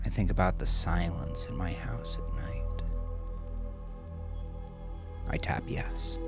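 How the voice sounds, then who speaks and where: A man narrating a first-person horror story in a confessional, brooding, often hushed manner. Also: deep voice, slow pace. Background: music.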